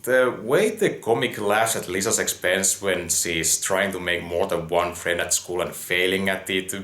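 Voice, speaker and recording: A man giving conversational review commentary in a musing, somewhat halting way. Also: deep voice, a bit bright. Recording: roomy, echoing voice recording.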